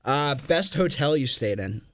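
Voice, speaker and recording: A man co-hosting a casual podcast, bantering and asking quick questions. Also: fairly high pitch, expressive, a bit bright, dry recording.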